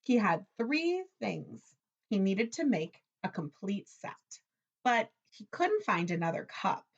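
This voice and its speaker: Female teacher, picture-book read-aloud: very animated intonation, unhurried, simple explanations and questions for kids. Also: mid-range voice, gravelly, slightly rough, dull audio.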